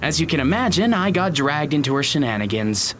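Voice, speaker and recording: An actor playing an awkward, polite teenage high school boy in an audio drama, speaking hesitantly with small stammers. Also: very animated, a little fast, a bit bright, slight background noise. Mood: explanatory, apologetic. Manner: hesitant, stammering, nervous chuckles.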